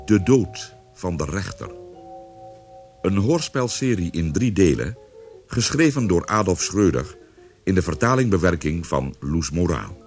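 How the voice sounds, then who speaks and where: Casting: male radio play announcer, very deep, expressive and unhurried, over music. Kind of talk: scripted radio drama announcement.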